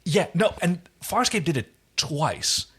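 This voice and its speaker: A man's voice: fairly high pitch, sing-song, slow pace, a bit bright, breathy edge. A man co-hosting a podcast in casual, chatty, emphatic conversation. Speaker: podcast co-host. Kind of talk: casual chat.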